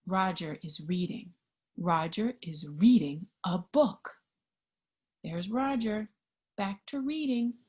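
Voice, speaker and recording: A woman reading a picture book aloud to young children in an expressive, child-directed storytime style. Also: mid-range voice, slow, dull audio. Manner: expressive, child-directed, with questions and sound effects.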